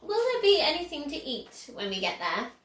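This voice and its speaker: A woman's voice: high-pitched, very animated, normal speed. A woman voicing an indignant, complaining old grandmother in a storybook, querulous and dramatic. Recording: roomy, echoing indoor sound.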